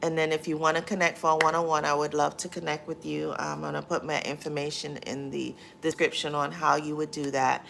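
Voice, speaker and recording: A woman's voice: low-pitched, flat delivery, a little fast, vocal fry, hardly any pauses, background noise. A woman hosting a casual livestream, reading viewer comments aloud and answering them with many fillers. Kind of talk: casual live chat.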